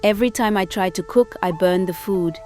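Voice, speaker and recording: A woman's voice: mid-range voice, fairly quick, mellow, faint music behind, lightly acted. A woman voicing a helpless, sorrowful young bride in a folktale, with a plaintive manner. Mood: despairing. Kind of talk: acted folktale dialogue.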